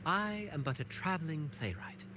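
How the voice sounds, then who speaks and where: An actor playing a suave, cryptic traveling playwright in a video game, with courtly, deliberate, theatrical phrasing. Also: male voice, a little high, lively intonation, noisy background, close to an everyday voice. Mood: wistful, theatrical. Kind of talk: dramatic game voice acting. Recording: game music under the voice. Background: loud game music.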